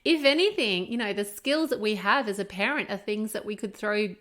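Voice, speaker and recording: A woman's voice: mid-range voice, expressive, fairly quick, fairly bright tone. Candid Australian woman podcaster, middle-aged. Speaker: podcast co-host. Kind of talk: casual conversational podcast chat.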